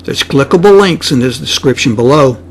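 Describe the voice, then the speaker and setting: A man's voice: mid-range voice, expressive, normal speed. A man reading a scripted announcement for a channel.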